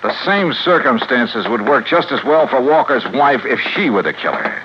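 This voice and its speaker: An actor plays a shrewd American insurance investigator, cool-headed, with a mid-pitched voice that moves freely up and down. It is vintage radio crime acting on a dull recording with faint noise. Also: male voice, expressive, moderate pace, close to an everyday voice. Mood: deductive. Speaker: lead of the series. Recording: muffled, noisy old radio recording.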